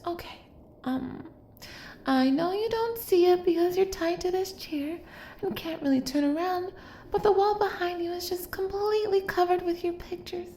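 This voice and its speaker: A woman's voice: very high voice, dark tone, echoey room, a little hiss or hum. A woman playing an obsessive, doting young female kidnapper in an intimate roleplay audio, speaking straight to the listener in a cutesy, gushing, coaxing way. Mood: sheepish, eager.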